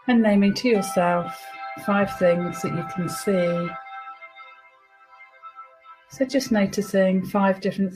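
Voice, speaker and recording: A woman leading a guided relaxation meditation, giving soothing, unhurried instructions with pauses. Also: mid-range voice, darkish tone, rough recording. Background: music behind the voice.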